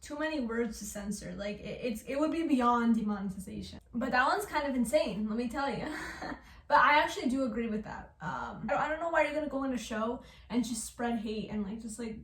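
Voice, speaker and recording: A woman's voice: on the high side, a little fast, fairly bright tone. A woman giving casual, informal, hesitant commentary as a reaction host. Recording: roomy echo with faint noise.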